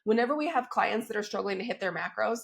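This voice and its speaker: Woman with a cold, crackly and gravelly. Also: mid-range voice, animated, quick pace. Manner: upbeat and chatty, keeps checking in with "right?" and "okay?". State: has a cold, voice crackly and squeaky. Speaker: fitness coach and podcast host. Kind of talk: solo podcast, coaching talk.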